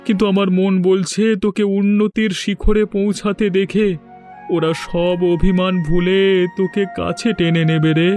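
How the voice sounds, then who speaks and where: Man doing an encouraging best buddy in a kids cartoon, high eager voice, faint music behind. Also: flat delivery, moderate pace, a little mellow. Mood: reassuring. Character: encouraging, loyal male friend of a businessman. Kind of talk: cartoon moral story voice acting.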